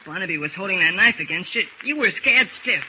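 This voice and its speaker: A testy, sceptical boy in a vintage radio serial. His voice is high and youthful. The old recording sounds dull, with faint noise. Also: lively intonation, quick pace. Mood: irritated. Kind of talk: 1940s radio acting.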